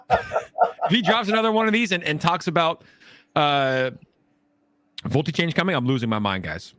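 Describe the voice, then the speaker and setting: A man hosting a casual crypto livestream, excitable and jokey, talking straight to his viewers. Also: fairly high pitch, a little slow, pauses often, no room sound, clean studio sound. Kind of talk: casual chat.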